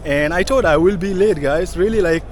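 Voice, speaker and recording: A man's voice: high voice, normal speed. A young man from South Sudan, talking casually to viewers as a vlogger at an outdoor celebration, saying "guys" a lot. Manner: chatty, says "guys" often. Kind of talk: casual vlog walkthrough. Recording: busy outdoor crowd behind.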